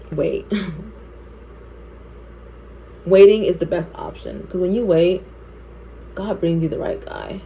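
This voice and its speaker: Chatty young woman with low voice. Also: dark tone, a little hiss or hum, rough recording. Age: 20s. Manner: chatty, candid, laughs often, quick asides. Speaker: beauty vlogger. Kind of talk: storytime while doing makeup.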